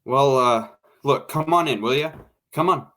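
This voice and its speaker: A man's voice: mid-range voice, measured pace, fairly bright tone, close to an everyday voice. Man as a brusque, bossy radio director. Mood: impatient, bossy. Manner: brusque, commanding, slangy. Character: impatient, bossy male radio director in 1940s studio. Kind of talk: 1940s radio drama acting.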